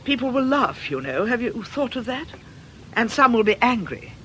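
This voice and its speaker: A woman's voice: on the high side, sing-song, normal speed, bright, forceful tone, a little hiss or hum. A woman playing a busy, sceptical parish sister in a radio drama, polite but firm.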